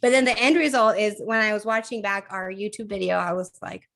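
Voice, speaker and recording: A chatty woman co-hosting a podcast, talking things through casually with plenty of fillers and easy laughter. Her voice is mid-pitched and a touch croaky today. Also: steady tone, fairly quick, fairly bright tone. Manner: chatty, laughs often, thinks aloud with fillers. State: slightly croaky voice. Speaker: podcast co-host. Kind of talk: casual chat.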